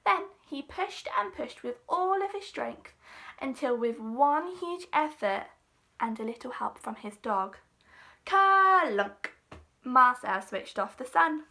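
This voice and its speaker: A woman's voice: high voice, expressive, slow, pushed and bright. A woman teacher reading a children's storybook aloud expressively, with dramatic emphasis.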